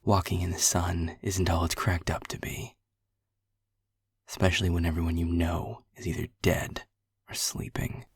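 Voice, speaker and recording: A man as a bitter, very raspy whispering ex-vampire. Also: deep voice, flat delivery, normal speed, no room sound, clean studio sound, exaggerated voice. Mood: gloomy. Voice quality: very breathy, hushed rasp. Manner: sullen, sardonic. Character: bitter, whining male former vampire, unwillingly made human. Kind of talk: roleplay audio drama.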